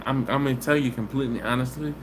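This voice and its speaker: Laid-back guy in his 40s chatting on a phone livestream, mid pitch, hissy audio. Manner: easygoing and chatty, with drawn-out "mm-mm" hums. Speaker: barbecue channel host. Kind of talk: casual live stream chat, reading viewer comments. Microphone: phone camera. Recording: live stream audio with background hiss.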